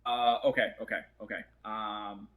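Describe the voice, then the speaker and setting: A man's voice: lowish voice, level tone, measured pace, fairly bright tone. A man from the Boston area whose first language is Portuguese, chatting casually as an interview guest, rambling with lots of fillers and laughs. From Boston area, USA.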